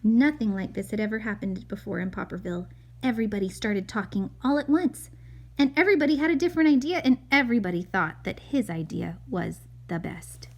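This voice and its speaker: A woman's voice: mid-range voice, lively intonation, brisk. A woman reading a picture book aloud to a child as a mother, expressively, with chatty asides and questions.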